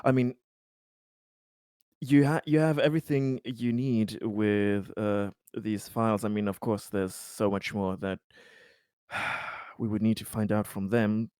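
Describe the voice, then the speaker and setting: A man playing a tabletop roleplaying game in a hesitant, halting way, often trailing off as he explains things. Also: fairly low pitch, darkish tone, no room sound, studio quality.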